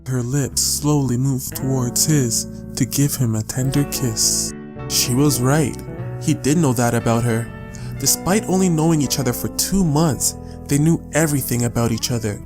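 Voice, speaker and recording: Guy narrating an audiobook, mid-range voice, deliberate pauses, guitar music under it. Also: medium pitch.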